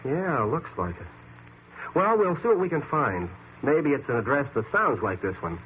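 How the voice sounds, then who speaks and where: A blunt, persistent male sidekick in a vintage radio detective show. His casual talk rises and falls in an animated way. The recording is muffled with a faint hiss of noise. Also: medium pitch, moderate pace. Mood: puzzled. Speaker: actor in a radio detective drama. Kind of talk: old-time radio mystery acting.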